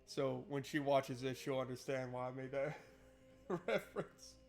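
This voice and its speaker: A man's voice: medium pitch, a little slow, vocal fry. A man doing casual, joking playthrough commentary and reading game narration aloud in an informal way. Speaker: game streamer.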